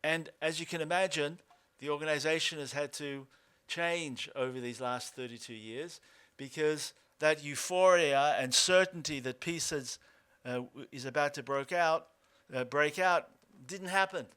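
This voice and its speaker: A man in his fifties with an Australian accent, a co-founder speaking at a lunch talk, halting with many fillers and restarts. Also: a little high, sharp tone, clear, resonant tone. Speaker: organization co-founder.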